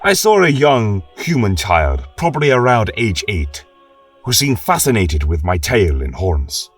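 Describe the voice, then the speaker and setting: A man voicing a gentle, reflective horned and tailed war veteran, earnestly and thoughtfully reading out his fantasy journal. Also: lowish voice, sing-song, a little slow, husky, heavily acted. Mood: earnest, troubled. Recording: distant mic with music behind.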